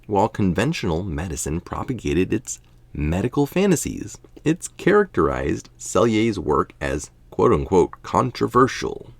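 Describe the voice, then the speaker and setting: A man's voice: sits low, big pitch swings, measured pace, gravelly, no room sound. A tired middle-aged man reading an article aloud, informal, with casual asides. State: tired late at night. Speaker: article reader.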